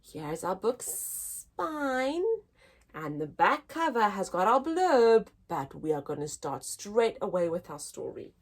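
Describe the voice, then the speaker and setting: A woman hosting a children's story time, reading a picture book aloud in an expressive, deliberate, teacher-like way for young listeners. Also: mid-range voice.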